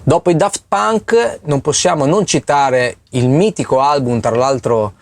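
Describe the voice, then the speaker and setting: A man's voice: mid-range voice, measured pace, faint music behind. A man presenting a tutorial in a casual, explanatory way, speaking directly to the viewer. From Italy. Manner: conversational, addresses viewer directly. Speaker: music production tutorial host.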